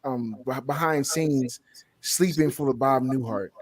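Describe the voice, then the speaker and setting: A man's voice: mid-range voice, unhurried. A man in a casual, opinionated chat, blunt and emphatic, repeating words and swearing heavily. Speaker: guest co-reviewer.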